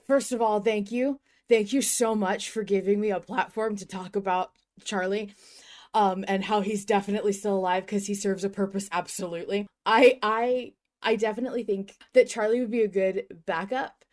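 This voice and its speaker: A woman's voice: medium pitch, steady tone, normal speed, darkish tone. A woman hosting a podcast in a casual, effusive, rambling fan-chat style. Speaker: podcast host.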